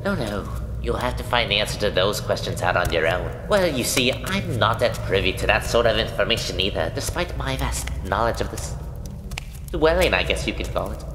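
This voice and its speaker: Fussy, very low-voiced cave recluse. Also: female voice, expressive, normal speed, music in the background. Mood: rambling, evasive. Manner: wordy, mannered, pompous phrasing. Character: fussy, talkative, bookish recluse of a dank cavern. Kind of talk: amateur radio play acting. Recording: echoey, noisy, long reverb.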